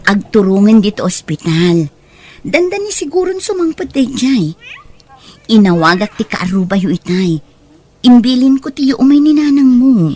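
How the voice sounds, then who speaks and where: An actress playing a greedy, scheming aunt in an audio drama, speaking with an Ilocano Filipino accent in an exclamatory, theatrical way. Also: female voice, a little high, sing-song, normal speed, mellow. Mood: placating. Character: greedy, scheming aunt exploiting her singing nephew.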